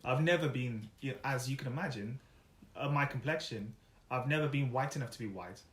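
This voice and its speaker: Young man with a bright tone, laid-back guest in an echoey room. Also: mid-range voice, a little fast. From Wales. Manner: easygoing, laughs often, trails off with fillers. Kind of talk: casual interview chat.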